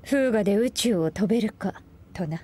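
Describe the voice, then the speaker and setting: A stately, husky scholar. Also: female voice, medium pitch, expressive, brisk, warm tone. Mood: grave. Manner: archaic, stately speech. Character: dignified woman scholar, stern and proud. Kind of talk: Japanese game dub acting. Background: game music.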